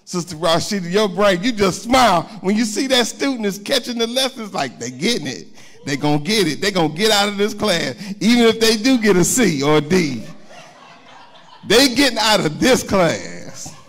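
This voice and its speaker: A man's voice: high-pitched, very animated, normal speed, fairly bright tone. A middle-aged man preaching in a church with a handheld microphone, chatting with the congregation in a conversational sermon. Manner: conversational preaching with call-and-response asides. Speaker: pastor. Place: church sanctuary, indoors.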